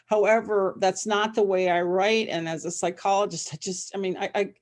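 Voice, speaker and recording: A woman's voice: medium pitch, steady tone, normal speed. A woman interview guest, an author and psychologist, talking casually and circling back mid-sentence.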